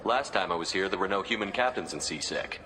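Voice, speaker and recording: An actor playing a stern, blunt military commander in a video game, clipped and businesslike. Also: male voice, deep voice, expressive, fast, pushed and bright, big-room sound, heavily acted. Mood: brisk, businesslike. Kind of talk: video game cutscene acting.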